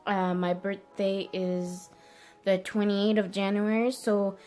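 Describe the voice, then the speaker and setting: Slow, hesitant young woman. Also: mid-range voice, flat delivery, a little mellow, faint music behind. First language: French. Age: early 20s. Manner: hesitant, halting. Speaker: vlogger. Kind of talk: casual personal vlog to camera.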